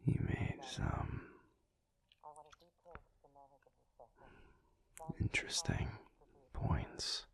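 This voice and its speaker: A man playing a calm, brooding alpha werewolf pack leader in an intimate audio roleplay, speaking thoughtfully in a breathy, gravelly near-whisper with halting pauses. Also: rumbling low, full character voice. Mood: serious, measured. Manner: slow, halting, pensive delivery.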